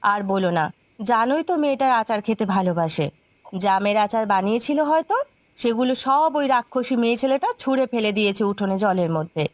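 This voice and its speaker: A woman's voice: on the high side, animated, fairly quick, dry recording, lightly acted. A woman voicing an indignant village neighbour in a cartoon drama, confiding gossip with feeling.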